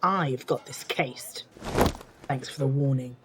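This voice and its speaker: An actor playing an arrogant, sarcastic young occultist in an audio drama, speaking with overconfidence. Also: male voice, medium pitch, slow pace, very noisy, close to an everyday voice. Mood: smug, dismissive. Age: young adult.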